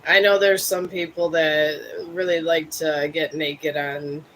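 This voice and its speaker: High, bright-voiced man in his fifties. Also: flat delivery, unhurried, slight background noise, rough recording. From Cleveland, Ohio. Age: 50s. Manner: rambling, musing, self-deprecating. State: a bit high. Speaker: live streamer. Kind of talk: casual live stream chat with viewers.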